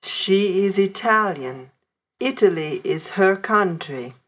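A woman reading example sentences in a language lesson, slow and even. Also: medium pitch. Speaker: course audio reader.

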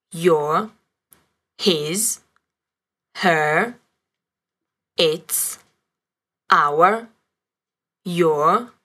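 Brief: woman lesson narrator, lowish voice, slow careful reading. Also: bright, forceful tone. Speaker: language teacher narrator. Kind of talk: scripted language lesson narration.

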